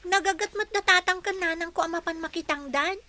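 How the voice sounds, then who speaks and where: A woman's voice: extremely high pitch, brisk, pushed and bright, no room sound. A woman playing a poor, dutiful young schoolgirl in a radio drama, earnest and polite. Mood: hurt, defensive. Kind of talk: Filipino radio drama acting.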